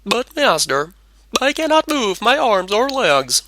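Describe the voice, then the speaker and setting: Man voicing an obedient, high-pitched robot servant. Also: very animated, takes it slowly, pushed and bright, dry recording, full character voice. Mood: earnest, polite.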